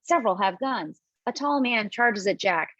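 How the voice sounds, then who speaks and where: A woman's voice: medium pitch, animated, moderate pace, a bit bright, no room sound. Woman narrating a script, dry dull audio. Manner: stumbles over words while reading. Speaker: stage directions reader. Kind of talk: scripted narration at a table read. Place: remote online table read.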